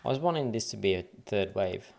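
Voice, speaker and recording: A man's voice: on the low side, lively intonation, a little fast, gravelly, a little mellow. A man from Finland with a light Finnish accent, thinking aloud and counting waves under his breath as he goes through charts on a casual trading livestream.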